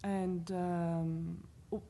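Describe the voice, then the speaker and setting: A woman from Lebanon with a Lebanese accent, speaking as a panelist at a university event in an earnest, animated way, thinking aloud with fillers. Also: fairly low pitch, extremely slow, slight background noise. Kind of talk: panel discussion talk.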